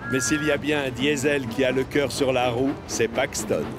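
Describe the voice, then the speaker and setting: A man playing a genial storyteller narrates a children's train cartoon in a medium-pitched voice. Music plays clearly behind the narration. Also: steady tone, moderate pace, close to an everyday voice. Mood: genial storytelling.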